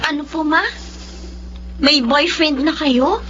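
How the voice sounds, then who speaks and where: An actress playing an anxious, protesting young adult daughter, incredulous and emotive, in a Filipino radio drama. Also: female voice, high voice, fairly even intonation, takes it slowly, over loud music. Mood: shocked, protesting. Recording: dull lo-fi radio drama sound.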